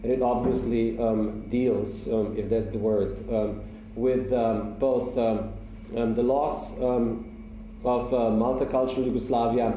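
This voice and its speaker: Halting poet, Slovenian native, very dark tone, distant mic in a roomy hall. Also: male voice, medium pitch, little pitch movement, a little hiss or hum. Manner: hesitant, many ums and uhs. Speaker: poet giving a reading. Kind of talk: live poetry reading with spoken introductions. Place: university lecture hall. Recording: echoey hall from far off.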